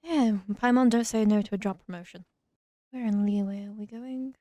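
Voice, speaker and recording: Female gaming commentator, relaxed dark tone, close dry sound, joking asides in a casual chatty style. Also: medium pitch, moderate pace, no echo. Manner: wry, joking. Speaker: let's player. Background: game music.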